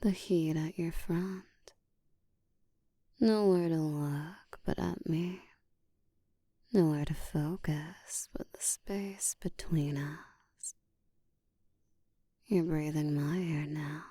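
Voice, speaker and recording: Actress as commanding female vampire for roleplay audio: very slow, breathy whisper, dark low tone, teasing control. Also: no echo, heavily acted.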